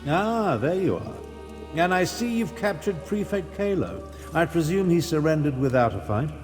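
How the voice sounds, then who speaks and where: An actor playing a haughty, calculating grand chancellor in a video game, with a pompous and deliberate delivery. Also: male voice, on the high side, sing-song. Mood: smug. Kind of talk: video game dialogue acting. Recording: long reverb, clear music behind.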